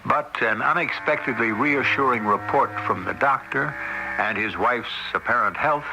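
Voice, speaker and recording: A man hosting and narrating an old-time radio mystery drama in a gravelly, measured, solemn voice. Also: medium pitch, background noise, lightly acted. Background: music.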